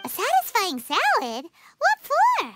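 Squeaky, bubbly little fairy girl. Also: huge pitch swings, takes it slowly, full character voice. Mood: curious, cheeky. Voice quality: squeaky, childlike. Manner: bubbly, speaks of self in third person. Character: tiny floating fairy girl companion, cheeky, excitable, childlike. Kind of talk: video game voice acting. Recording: game music behind the voice.

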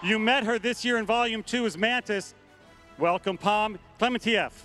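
A man on a large convention stage with a handheld microphone, introducing actors one by one and shouting their names over the crowd. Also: sits high, normal speed, soft background music. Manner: announces names with rising, shouted emphasis. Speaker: studio head presenting a convention panel.

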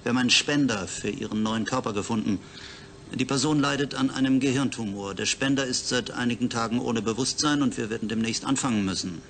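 A man's voice: medium pitch, a little fast, a bit bright, slight background noise, close to an everyday voice. A man playing a formal, detached doctor in a radio drama, speaking matter-of-factly. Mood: brisk, detached. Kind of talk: German radio drama acting.

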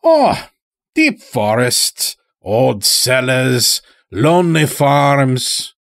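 Man voicing a sly, high-pitched old folksy stranger. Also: huge pitch swings, very slow, a bit bright, no echo, studio quality, full character voice. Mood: ominous, knowing. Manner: folksy, rambling, dropped words.

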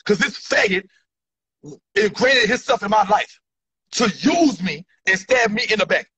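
Angry high-voiced man. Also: very animated, moderate pace, pushed and bright, husky. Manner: angry, profane, repetitive, gesturing wildly. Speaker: rapper ranting to followers. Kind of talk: heated selfie-video rant. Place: indoor room. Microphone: phone at arm's length. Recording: dull lo-fi phone audio.

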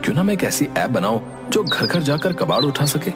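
A man's voice: a little high, very animated, a little fast. A man playing an eager young village man with business dreams in a cartoon story. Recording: music behind the voice.

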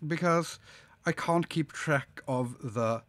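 A man in his forties with a slight cold, chatting slowly and hesitantly with a live audience while he draws at his desk, with plenty of ums and uhs. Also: on the high side, a bit bright. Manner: slow, musing, hesitant with many fillers, reads chat aloud. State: sniffly with a cold. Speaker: artist streaming live. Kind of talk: casual live-stream chat while drawing. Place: home studio desk, indoors.

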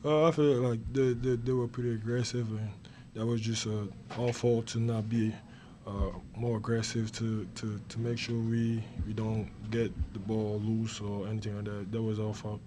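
A young man, a college basketball player, answering questions in halting speech with many fillers and repeated words, at a post-game press conference. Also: on the low side, little pitch movement, background noise. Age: young adult. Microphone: table mic.

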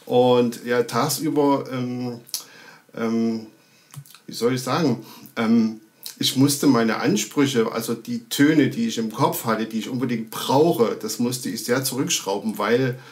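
A man in his thirties with a medium-pitched voice. He talks casually, as in a remote interview, and feels his way through sentences haltingly as he thinks aloud. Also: darkish tone. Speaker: sound recordist and film editor. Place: indoor room, video call. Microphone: video call.

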